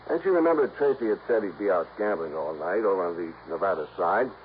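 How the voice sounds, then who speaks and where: An earnest American insurance man in an old radio mystery, with a lowish voice and a brisk, folksy, businesslike manner. The recording is muffled with faint noise. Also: lively intonation. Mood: grave, explanatory. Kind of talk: 1950s radio drama acting.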